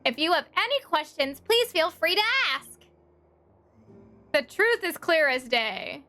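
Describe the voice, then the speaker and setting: A woman's voice: high-pitched, sing-song, measured pace, bright, forceful tone, heavily acted. A woman voicing a cheeky little thief who poses as a frail old man, wheedling and theatrical, in a video game. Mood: feigned innocence.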